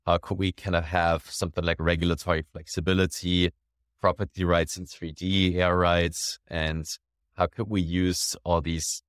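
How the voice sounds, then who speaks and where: A man's voice: deep voice, flat delivery, moderate pace, clean tone, dry recording, clean studio sound. A man hosting a podcast interview, enthusiastic and often tagging sentences with a question. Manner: enthusiastic, ends sentences with "right?". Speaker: podcast host.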